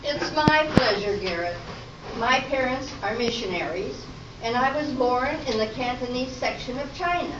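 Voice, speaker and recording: A genteel, proper librarian on a radio quiz, acted by a woman. Her voice is on the high side and reaches a distant mic through room echo and noise. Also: moderate pace, lightly acted. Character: genteel, cultured female librarian game show contestant. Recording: echoey, distant, noisy dull live recording.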